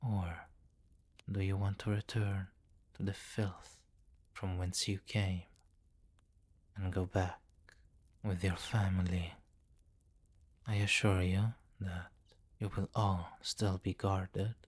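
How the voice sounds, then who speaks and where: A man's voice: deep voice, flat delivery. A man playing a commanding, possessive vampire king in an intimate, teasing ASMR roleplay. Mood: grave, controlling. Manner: slow, teasing, condescending, with murmured endearments.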